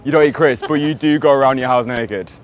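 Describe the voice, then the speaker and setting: A man's voice: medium pitch, brisk, lo-fi sound. A man doing a cheeky street interview outdoors, teasing and joking with a playful manner.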